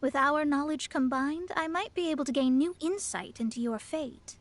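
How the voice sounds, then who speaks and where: A woman's voice: high-pitched, normal speed, lightly acted. A woman voicing a proud young astrologer in a game, grand and lecturing in manner. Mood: lofty. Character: proud young female astrologer, haughty and mystical. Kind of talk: game voice acting. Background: game music.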